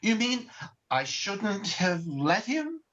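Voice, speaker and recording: A man playing a gentle, earnest elderly guardian angel in an old-time radio drama, over a video call. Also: high-pitched, sing-song, takes it slowly, exaggerated voice. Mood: sheepish. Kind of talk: 1940s radio drama acting. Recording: dull video-call audio.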